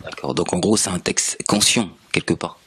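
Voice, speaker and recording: A male radio host interviewing an artist in the studio, halting and full of fillers as he asks his questions. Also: deep voice, fairly bright tone, husky. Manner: halting, many fillers and restarts.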